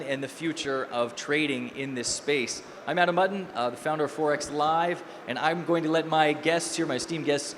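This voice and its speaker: A man's voice: a little high, a bit bright. Upbeat man moderating a panel. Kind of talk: hosting a conference panel. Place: expo hall stage. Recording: reverberant expo hall with faint noise.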